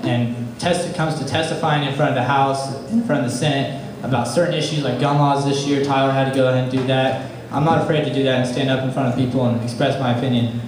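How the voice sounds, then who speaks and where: A young man answers debate questions in a halting, casual way and leans on many fillers. He sounds far from the mic in a very echoey room with clear background noise. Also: medium pitch, steady tone. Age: young adult. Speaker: student government candidate.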